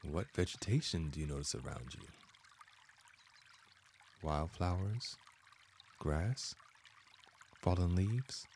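Slow, very deep-voiced man guiding meditation. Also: lively intonation, darkish tone, a little hiss or hum. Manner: measured, deliberate phrasing with frequent pauses. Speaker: meditation guide and podcast host. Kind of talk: scripted guided meditation narration.

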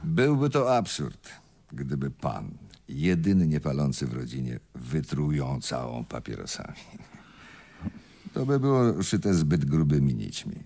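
A man playing a shrewd, composed police inspector in a radio play, reasoning formally and deliberately in a gravelly voice. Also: very deep voice, sing-song, mellow, heavily acted. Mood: explaining, insistent. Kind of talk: Polish radio play acting.

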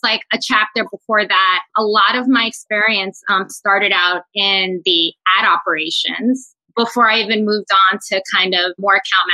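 A woman's voice: mid-range voice, pushed and bright, dry recording, poor audio. A woman from New York City speaking as a panel guest in a video call discussion, talking conversationally with many fillers.

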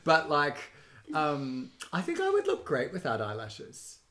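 A man's voice: on the high side, huge pitch swings, measured pace, a bit bright, slight background noise. A man, chatting casually in a rambling, self-deprecating and hyperbolic way. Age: 30s. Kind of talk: casual chat.

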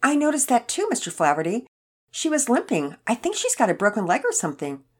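A woman's voice: fairly high pitch, wildly swooping pitch, fairly quick, slightly rough, pitched above the performer's own voice, heavily acted. A woman voicing an eager, kindhearted young boy in a story, polite and earnest. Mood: concerned, earnest. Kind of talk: acted character dialogue in children's story narration.

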